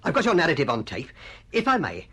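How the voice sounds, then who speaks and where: An actor in a radio drama playing a middle-aged film producer, husky, shrewd and persuasive, with clipped, emphatic sales patter. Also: male voice, on the high side, huge pitch swings, quick pace, a bit bright, slight background noise. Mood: persuasive, jovial.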